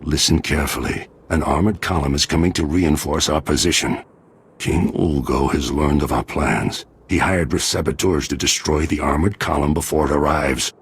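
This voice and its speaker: A stern older lord in a video game drama. His voice is extremely low and full of gravel, with a heavy husk to it. He speaks as a worried leader of his house. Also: steady tone, normal speed, full character voice. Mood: grim urgency. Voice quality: very deep, gravelly, husky.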